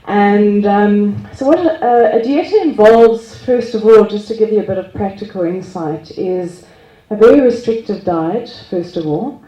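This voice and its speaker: A woman telling a gathering about her experience in a relaxed, self-mocking way. She laughs easily and often restarts her sentences. Her mellow voice carries through an echoey room over a microphone. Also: mid-range voice, unhurried, few pauses, lo-fi sound. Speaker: group speaker sharing experience. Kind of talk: casual personal sharing at a gathering. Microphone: handheld microphone.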